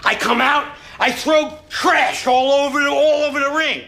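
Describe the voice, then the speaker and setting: A woman putting on a brash male wrestler persona who throws and eats trash, boasting loudly. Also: high-pitched, moderate pace, pitched above the performer's own voice. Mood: boastful.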